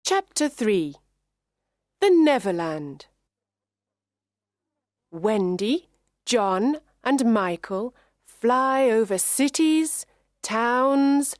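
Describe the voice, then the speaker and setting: A woman reading a children's story aloud in clear, measured narration for English learners. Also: fairly high pitch, sing-song, dry recording, studio quality.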